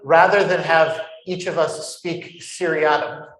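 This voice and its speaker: A man who is a rabbi, moderating a panel in a wry, deliberate way with playful turns of phrase. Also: on the high side, fairly even intonation, a bit bright. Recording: echoey lecture hall.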